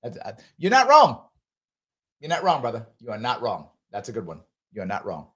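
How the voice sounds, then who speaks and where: A man's voice: mid-range voice, huge pitch swings, brisk, a bit bright, slightly rough. An emphatic male host on a live discussion show, talking in a middle register. He reads viewer comments and argues his points conversationally, repeating himself for emphasis.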